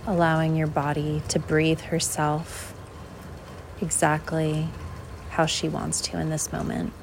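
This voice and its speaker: A woman leading a guided visualization in an unhurried, measured way, leaving long pauses between short phrases. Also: sits low, monotone, creaky, background noise. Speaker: guided meditation host.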